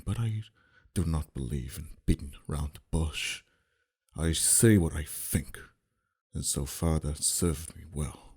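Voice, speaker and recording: A man playing a dominant, flirty mafia boss in an intimate ASMR roleplay, talking in a deliberate, quietly threatening and teasing manner. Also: extremely low pitch, vocal fry, breathy edge, heavily acted. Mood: menacing, controlled. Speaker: voice actor.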